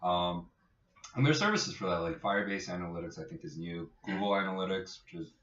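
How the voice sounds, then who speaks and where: Echoey distant recording, very low male voice, casual tech podcast co-host, informal and halting. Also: fairly bright tone. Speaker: co-host, app developer. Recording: echoey room, distant muffled mic.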